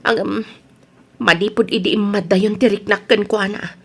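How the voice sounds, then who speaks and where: A woman acting a hurt, sharp-tongued grown daughter who holds a grudge, in an Ilocano radio drama. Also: medium pitch, fairly even intonation, moderate pace, breathy edge. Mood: hurt, subdued.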